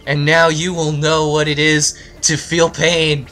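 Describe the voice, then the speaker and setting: A man's voice: a little high, flat delivery, a little slow, sharp tone. A man voicing a grandiose, vengeful warrior father with melodramatic menace in a comic story. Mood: menacing.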